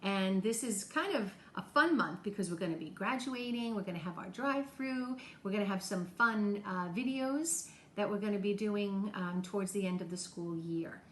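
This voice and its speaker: A woman's voice: mid-range voice, moderate pace, few pauses, a bit bright. A woman teacher talking to young children in a homemade lesson video, encouraging them with simple questions and explanations. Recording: echoey room, faint background noise.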